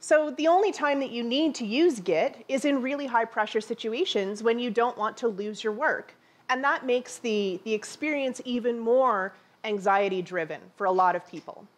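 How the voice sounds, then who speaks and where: Canadian lady doing a conference talk, kinda high voice, dry jokes, headset mic. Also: normal speed. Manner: conversational with dry asides. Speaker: conference speaker. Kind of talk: conference presentation. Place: large dark theater stage.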